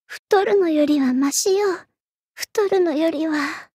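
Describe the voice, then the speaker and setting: A woman's voice: very high-pitched, takes it slowly, a little mellow, no echo, studio quality. A woman playing a haughty, vain young Egyptian queen spirit in an anime game, speaking with imperious, ladylike command. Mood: disdainful. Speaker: game voice actor. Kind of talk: anime game character voice lines.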